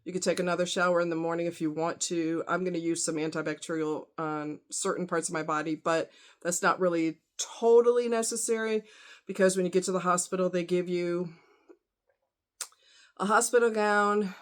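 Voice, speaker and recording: A woman's voice: fairly low pitch, steady tone, moderate pace. A woman vlogger chatting casually to her audience in a rambling, conversational way with frequent asides. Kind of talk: casual chat to camera.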